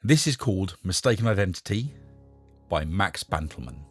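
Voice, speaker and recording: Husky, expressive low-voiced man reading a story. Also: moderate pace, faint music behind. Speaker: narrator and host reading short stories. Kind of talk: scripted story narration with a short casual introduction.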